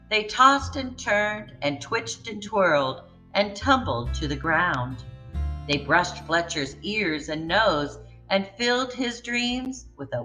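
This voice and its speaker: Slow, bright-voiced woman reading a storybook. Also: medium pitch, low-quality recording. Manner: slow, gentle, expressive. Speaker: children's book reader. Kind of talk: storybook read-aloud.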